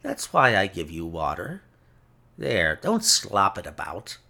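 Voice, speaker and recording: A male reader acts out a cruel gentleman villain from a classic detective story. His voice is rough and creaky, with big theatrical swings of pitch. He gloats with mock courtesy and savors each taunt smugly. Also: huge pitch swings, slow pace, exaggerated voice. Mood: cold contempt. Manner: taunting, mock-polite, smug.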